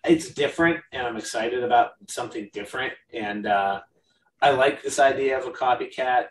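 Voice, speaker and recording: A man's voice: mid-range voice, fairly quick, distant mic. A man co-hosting a horror podcast, chatting in an easygoing, agreeable way. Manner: easygoing, agreeable, reads viewer comments aloud. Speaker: podcast co-host. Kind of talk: casual horror movie chat. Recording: roomy sound, mic set back, faint hiss.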